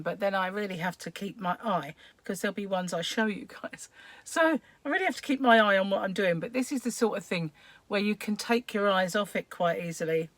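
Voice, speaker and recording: A woman hosting a crochet livestream, chatting casually and greeting viewers by name, her voice croaky today with frequent throat clearing. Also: mid-range voice, dry recording. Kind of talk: casual live-stream chat while crocheting.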